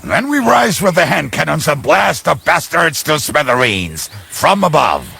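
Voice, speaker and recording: An actor voicing a boozy, cheeky middle-aged ruffian in a video game, with a gravelly, husky voice and a rambling manner. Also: male voice, on the high side, big pitch swings, unhurried, bright, forceful tone, heavily acted. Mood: cheeky, excited. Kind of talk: video game character acting.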